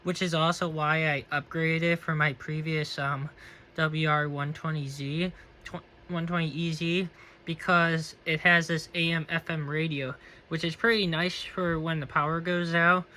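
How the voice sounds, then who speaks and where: A man's voice: on the high side, level tone, a little slow, sharp tone. A man giving a casual, unscripted product review and demonstration. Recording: faint background noise.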